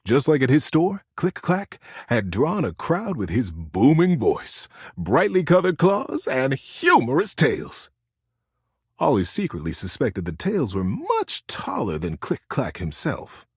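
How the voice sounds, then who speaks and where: A man tells a children's story with dramatic emphasis and gentle suspense. His mid-range voice sweeps widely up and down with a soft husk to it. The recording is dry and close. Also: medium pitch, huge pitch swings, measured pace, breathy edge, no echo.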